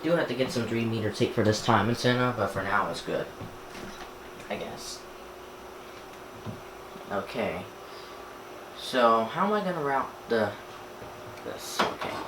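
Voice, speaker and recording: A man casually talking through an unboxing and setup as he works, halting and half muttering to himself. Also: mid-range voice, animated. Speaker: YouTuber unboxing and setting up a radio. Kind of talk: casual unboxing narration while handling items. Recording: echoey room with clear background noise.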